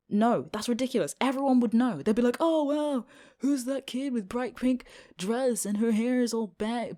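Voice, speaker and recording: A woman with a British accent playing a reluctant, exasperated teenage schoolgirl with a secret magical identity, in a casual, halting, sardonic ad-libbed audio roleplay. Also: mid-range voice, warm tone, lightly acted. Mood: incredulous, exasperated.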